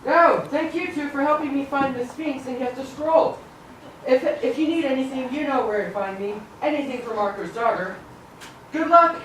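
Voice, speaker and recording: A brave tomb explorer acted by a young woman in a puppet show. The voice is somewhat high and dramatic, coming from far off in a noisy, very reverberant hall. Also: moderate pace, a bit bright. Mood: grateful. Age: teenage or young adult student. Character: brave adventurous tomb explorer named Tom. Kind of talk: live puppet show acting for children.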